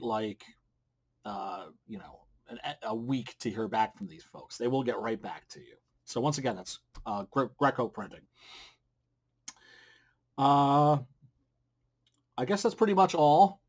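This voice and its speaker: A middle-aged man hosting a casual how-to vlog, talking in a blunt, informal way. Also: medium pitch. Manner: blunt, informal, mildly profane. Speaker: comic book creator and video series host. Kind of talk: casual instructional vlog to camera. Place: small wood-panelled room at home, indoors. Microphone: large studio mic on boom arm with pop filter.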